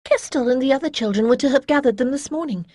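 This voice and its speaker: A woman voicing a gentle, earnest young priestess, speaking slowly and carefully in a raised voice. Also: high voice, dry recording, clean studio sound, pitched above the performer's own voice.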